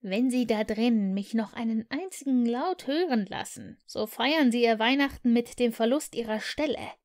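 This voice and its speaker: A woman's voice: a little high, moderate pace, a little mellow, close to an everyday voice. A woman reading the part of a grumpy, miserly old man who answers curtly and scornfully. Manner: curt, scornful grumbling.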